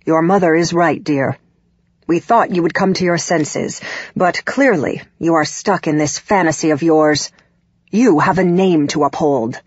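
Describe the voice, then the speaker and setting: A woman voicing a stern, controlling middle-aged father in an audiobook, with a husky voice. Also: low-pitched, no echo, dull audio. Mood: stern, disapproving. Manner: stern, measured, disapproving.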